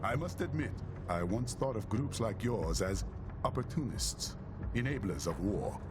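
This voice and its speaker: Deep-voiced, dignified older statesman. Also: lively intonation, unhurried. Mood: gracious, reflective. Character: male African prime minister, older, dignified, gracious statesman. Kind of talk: video game cutscene acting. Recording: loud music over the dialogue.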